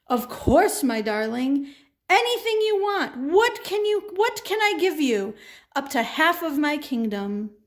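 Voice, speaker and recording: A woman's voice: sits high, sing-song, unhurried, pitched above the performer's own voice. A woman voicing a doting, generous, easily led king in a children's puppet show, with an effusive manner.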